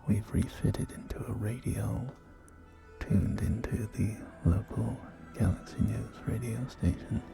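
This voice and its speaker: A man playing a polite, helpful real estate agent in a post-apocalyptic ASMR roleplay, speaking softly and courteously with small hesitations. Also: lowish voice, steady tone. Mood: gentle, accommodating. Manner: soft-spoken, hesitant, unhurried, courteous. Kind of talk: ASMR roleplay, whispered sales tour. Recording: close whisper over clear background music. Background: music and game audio.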